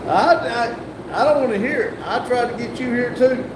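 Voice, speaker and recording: High-voiced man in an echoey shop. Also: moderate pace. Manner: short, offhand replies. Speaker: co-host on the live stream. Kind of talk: casual chat. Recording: echoey workshop with loud background noise.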